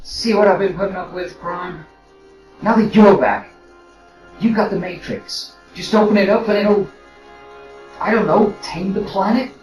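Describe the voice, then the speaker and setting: An actor playing a casual, flustered robot soldier in an audio drama, hesitant and rambling, recorded roomily from a distance. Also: male voice, sits high, measured pace, long pauses, over music. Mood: exasperated. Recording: roomy, distant mic with noise.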